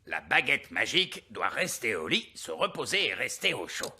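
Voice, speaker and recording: A man's voice: mid-range voice, very animated, normal speed, vocal fry, sharp tone, husky, full character voice. An actor playing a wise old male elf in a French-dubbed children's cartoon, fussy and pompous, lecturing as he speaks. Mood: grave, authoritative.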